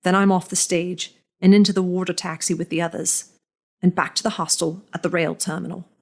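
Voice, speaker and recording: A woman narrating a first-person story in a measured, deliberate reading with lingering pauses. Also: on the low side, level tone, vocal fry.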